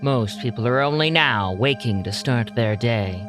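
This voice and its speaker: A man giving measured, portentous scripted narration for an audio drama. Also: mid-range voice, animated, over music.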